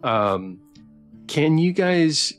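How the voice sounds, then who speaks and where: A man's voice: fairly high pitch, big pitch swings, drawn-out words, soft background music. A man hosting a casual live stream interview, talking in an enthusiastic, effusive way.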